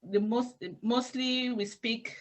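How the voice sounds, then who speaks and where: Cameroonian woman, measured and halting. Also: a little high, fairly even intonation. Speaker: church intern being interviewed. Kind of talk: unscripted interview answers.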